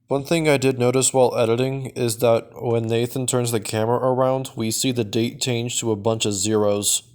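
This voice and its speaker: A man's voice: mid-range voice, darkish tone. Guy doing a laid-back recap narration for a video, regular mid-range voice. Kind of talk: casual scripted recap commentary.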